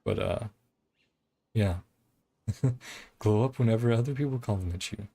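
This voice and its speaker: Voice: mid-range voice, moderate pace, creaky, mellow. A streamer giving casual, chatty commentary while playing a game, sounding easily amused. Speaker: livestream host playing a game. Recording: game music behind the voice. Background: video game music.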